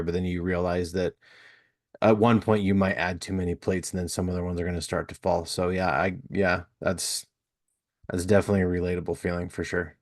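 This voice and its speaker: A man's voice: low voice, darkish tone. A man hosting a casual podcast interview, rambling and searching for words with lots of fillers. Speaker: podcast host.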